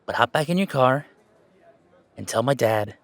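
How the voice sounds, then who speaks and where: A man's voice: medium pitch, lively intonation, moderate pace, no echo, close to an everyday voice. A man playing an affectionate, anxious young gamer in a conversational audio roleplay, talking in a hesitant, rambling way. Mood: indignant, bitter. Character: affectionate, anxious, self-deprecating young gamer, wary of family.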